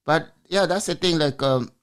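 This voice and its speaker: A man's voice: a little high, moderate pace, fairly bright tone, clear, resonant tone. A man hosting a podcast over a video call, asking long, rambling questions with frequent restarts. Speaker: podcast host. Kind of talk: casual interview. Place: home room, video call. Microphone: large studio mic on arm.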